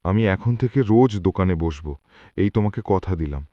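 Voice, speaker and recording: A man's voice: low-pitched, animated, fairly quick, no echo, lo-fi sound. A man voicing a lazy, irritable village shopkeeper husband in a cartoon, with a whining, grumbling delivery. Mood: pleading. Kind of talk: cartoon voice acting.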